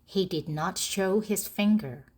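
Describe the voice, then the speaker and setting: A woman reading a children's story aloud in short, deliberate phrases for language learners. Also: mid-range voice, darkish tone, breathy edge. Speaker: storybook narrator.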